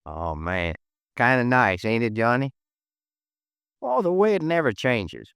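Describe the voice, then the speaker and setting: An actor playing a streetwise gambling friend in a 1950s American radio drama, slangy and teasing. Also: male voice, mid-range voice, big pitch swings, a little slow, fairly bright tone, clear, resonant tone, no room sound, studio quality. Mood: easygoing.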